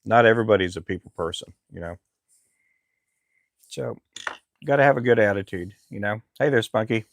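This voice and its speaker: Middle-aged man, low and gravelly, easygoing rambler, dry close recording. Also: normal speed, long pauses, no echo. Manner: relaxed, rambling, frequent fillers. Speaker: lure maker live streamer. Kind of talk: casual live stream chat.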